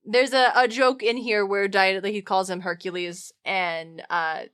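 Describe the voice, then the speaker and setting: A woman hosting a podcast in casual, comedic chat, animated and rambling with slangy asides. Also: mid-range voice, a little slow, smooth. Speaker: podcast host.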